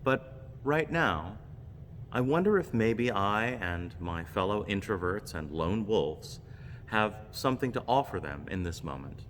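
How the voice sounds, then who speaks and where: A man giving a reflective homily with personal stories, speaking in measured, deliberate phrases. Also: fairly low pitch, sing-song, fairly bright tone. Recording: long reverb, background noise.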